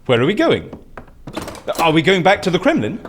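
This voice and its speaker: An actor playing an excitable, easily exasperated man who masterminds schemes, with an emphatic, theatrical delivery in an audio drama. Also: on the high side, moderate pace, slight background noise. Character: excitable, exasperated male scheme-master plotting a staged assassination.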